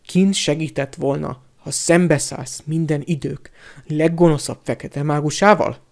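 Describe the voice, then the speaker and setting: A man's voice: a little high, steady tone, gravelly. A man voicing a vengeful, furious adult man betrayed by his friend, with snarling, accusing delivery in an audiobook. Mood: bitter fury. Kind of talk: audiobook character acting.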